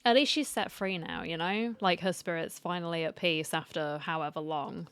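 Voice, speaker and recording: A woman with a slightly low voice gives casual game commentary as a streamer. The sound is close and very dry, as on a streaming mic. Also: moderate pace, a bit bright, clean tone, no echo. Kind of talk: casual reaction commentary, reading narration aloud.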